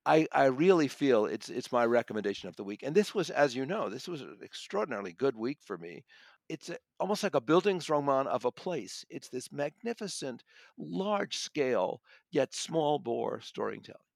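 A man's voice: medium pitch, sing-song, moderate pace, creaky, no echo. A man reviewing books in conversation as a podcast guest, enthusiastic and considered in how he talks. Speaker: audiobook critic and podcast guest.

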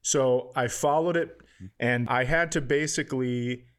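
A man's voice: medium pitch, measured pace, clean tone. A man co-hosting a podcast, telling an everyday story casually, with swearing and quoted dialogue. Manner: profane, animated retelling with quoted dialogue. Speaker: podcast co-host.

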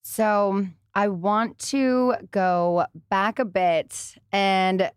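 A woman's voice: medium pitch, fairly even intonation, drawn-out words, smooth, no room sound. A woman hosting a studio interview, chatty and encouraging. She often answers with short affirmations. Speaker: podcast host. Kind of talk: casual interview chat.

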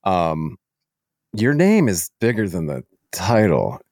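A man hosting a casual interview, speaking in a halting, appreciative way to his guest. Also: low voice, wildly swooping pitch, darkish tone, no room sound, studio quality. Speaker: podcast host.

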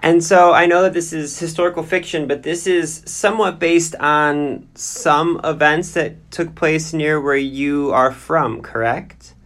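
A man's voice: fairly high pitch, steady tone, unhurried, fairly bright tone. A man interviewing a guest conversationally, building long, tentative questions.

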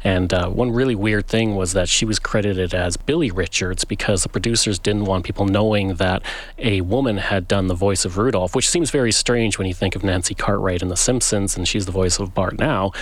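A man's voice: deep voice, steady tone, fairly quick. A man with a Canadian accent explaining history casually and informatively as a guest in a radio studio interview. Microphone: studio microphone.

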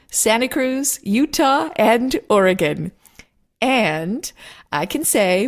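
Woman hosting a podcast interview. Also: mid-range voice. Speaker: podcast host and producer. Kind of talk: interview with scripted introduction.

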